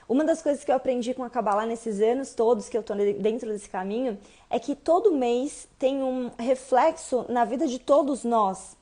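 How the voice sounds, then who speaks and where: Hoarse woman hosting a livestream. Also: fairly high pitch. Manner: warm teaching, explains step by step. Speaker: host of a kabbalah livestream. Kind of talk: casual livestream talk.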